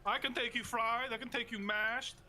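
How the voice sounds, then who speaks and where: Boastful hulking potato wrestler, gruff and taunting, high and bright, played by a man. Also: steady tone, racing, soft background music.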